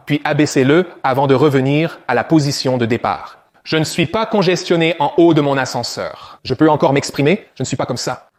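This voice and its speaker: A man coaching viewers through a back exercise, giving direct step-by-step instructions on form. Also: on the high side. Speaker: fitness coach.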